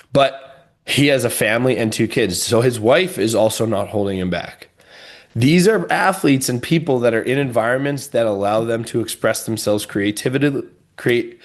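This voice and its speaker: A man co-hosting a podcast, talking in an emphatic, expansive way and thinking aloud as he goes. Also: mid-range voice. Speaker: podcast co-host. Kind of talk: casual podcast chat.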